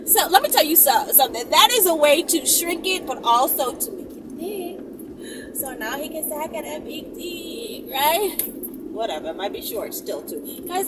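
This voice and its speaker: A woman hosting her own video channel, chatting casually and emphatically to her viewers and often checking in with them. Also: high voice. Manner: animated, emphatic, repeatedly checking in with listeners. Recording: distant mic, loud ambient noise.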